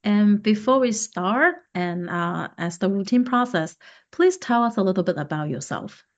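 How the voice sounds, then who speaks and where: A woman hosting an interview podcast, putting questions to her guest in deliberate, somewhat halting phrases. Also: mid-range voice, lively intonation. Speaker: podcast host. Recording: muffled lo-fi podcast recording.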